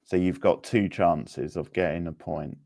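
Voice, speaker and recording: A man hosting a casual video game quiz, reading out options and answers in a deliberate way. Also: low-pitched, creaky, warm tone. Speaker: quiz host.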